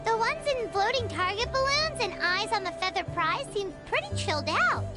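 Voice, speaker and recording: A woman voicing a tiny, cheeky fairy companion in a video game, with a squeaky childlike voice and blunt exclamations. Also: big pitch swings, moderate pace, over loud music. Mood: cheeky, curious.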